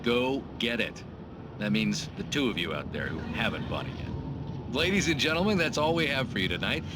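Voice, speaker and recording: A man acts out a brash late-night talk show host with a very animated, swooping delivery. He is chummy and teasing, with a showman's mock outrage, over loud noise. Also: medium pitch, brisk, lightly acted.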